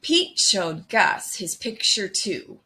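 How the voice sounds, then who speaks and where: A woman reading a children's picture book aloud in an expressive, deliberate way. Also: mid-range voice. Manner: slow, expressive, deliberate phrasing. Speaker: storybook reader.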